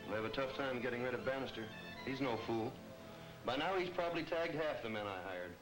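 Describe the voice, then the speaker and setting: A man's voice: medium pitch, fairly quick, natural voice, rough recording. A man with an American accent playing an uneasy, slangy gangster henchman who questions things, in a 1940s movie serial. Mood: doubtful, uneasy. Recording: old film soundtrack, loud music behind.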